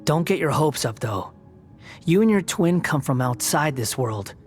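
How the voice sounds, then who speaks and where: A man's voice: fairly high pitch, animated, a little slow, soft background music. A man voicing a sardonic young male puppet held as a prisoner in a game cutscene; his speech is dry, mocking and aloof.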